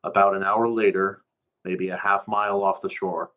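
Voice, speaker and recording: Male storyteller, lowish voice, colloquial first-person narrative read live. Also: little pitch movement, moderate pace, fairly bright tone. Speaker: storyteller reading a story aloud.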